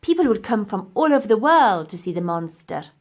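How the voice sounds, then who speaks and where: A woman's voice: mid-range voice, sing-song, a little mellow. Woman voicing a gloating, pompous old professor villain. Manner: emphatic, drawn-out, pompous delivery. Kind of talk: children's audiobook character acting.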